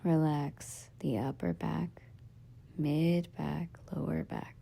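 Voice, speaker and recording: Hushed, slow woman guiding meditation. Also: deep voice, steady tone. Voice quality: soft, breathy, husky, half-whispered. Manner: gentle, soothing, measured instructions in short phrases with pauses. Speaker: yoga nidra meditation teacher. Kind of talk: guided meditation.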